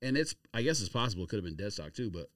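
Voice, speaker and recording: A man's voice: on the low side, very animated, very fast, a bit bright, no room sound, studio quality. An American man chatting casually as a podcast co-host, recorded a little off the microphone. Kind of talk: casual chat.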